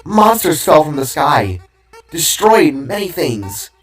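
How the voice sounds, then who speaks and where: A man performs a tiny magical cube from an audio story, talkative but weary. It speaks haltingly and evasively, weighed down by sorrow. Music plays underneath. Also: fairly high pitch, big pitch swings, recorded from a distance, pitched above the performer's own voice, low-quality recording. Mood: uneasy, sorrowful. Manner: talkative, hesitant, fumbling for words.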